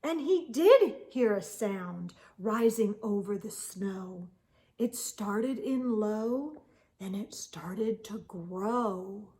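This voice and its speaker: A woman's voice: medium pitch, animated, extremely slow, dark tone. A woman reading a rhyming picture book aloud to children, with dramatic, rhythmic storyteller emphasis.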